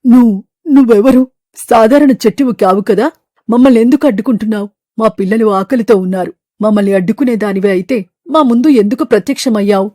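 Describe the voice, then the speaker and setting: A woman voicing a proud leader bird in an animated moral story, speaking in an emphatic, declamatory way. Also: on the high side, fast, no echo, studio quality, natural voice. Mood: indignant. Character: proud business-minded leader bird, later remorseful.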